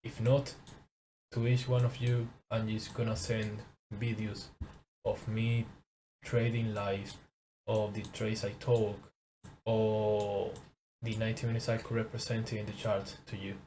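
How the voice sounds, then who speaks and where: A young man from Venezuela with a Venezuelan Spanish accent, teaching a trading course in halting English as a second language, often repeating words. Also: fairly low pitch, flat delivery, dark tone, slight background noise, poor audio. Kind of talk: casual screen-share lesson.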